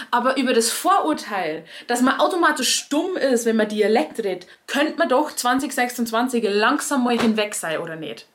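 Bavarian woman, ironic and lively. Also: fairly high pitch. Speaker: stage performer and comedian. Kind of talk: scripted radio commentary.

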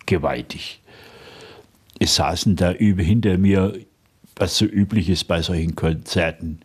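Franconian-accented older man, deep husky voice, unhurried interview guest. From Franconia, Germany. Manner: slow, thoughtful, frequent hesitations with "äh". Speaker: interview guest, dialect poet. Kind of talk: radio interview, reminiscing.

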